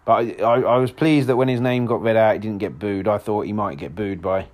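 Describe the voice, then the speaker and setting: A man with a hoarse voice, hosting a solo football podcast in a rambling, casual way with lots of restarts. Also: lowish voice, fairly quick, a little mellow. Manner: rambling, frequent restarts and fillers.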